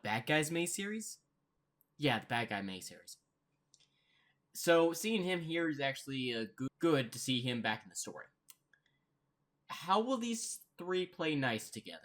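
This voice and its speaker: A man giving casual reaction commentary as a host, thinking aloud in a halting way. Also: medium pitch, fairly bright tone.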